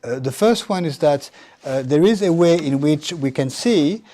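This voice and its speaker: A man giving a university lecture, formal and halting, with many fillers and restarts. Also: a little high, animated, warm tone. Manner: formal, addresses audience directly.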